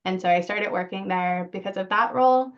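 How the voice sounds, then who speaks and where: A woman's voice: mid-range voice, a little fast. A woman librarian giving an informal account on a panel, on slightly muffled audio.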